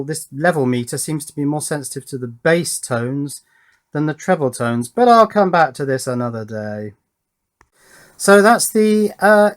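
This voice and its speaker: A man giving a casual hands-on electronics demonstration, musing aloud with pleased enthusiasm as he works. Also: medium pitch. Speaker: electronics hobbyist presenter.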